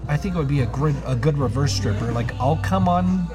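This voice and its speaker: A man's voice: fairly high pitch, expressive, fairly quick. A man hosting a casual talk show, joking and laughing often, with music playing behind. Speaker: host. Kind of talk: casual podcast chat.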